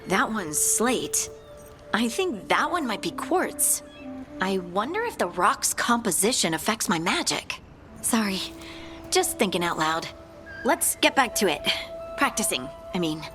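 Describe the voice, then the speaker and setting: An eager young woman adventurer from a video game. Her pitch leaps around with excitement, though she takes her time and falters when shy. Clear game music plays behind her. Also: measured pace. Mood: musing. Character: eager, flustered young woman adventurer learning stone magic. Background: game soundtrack music.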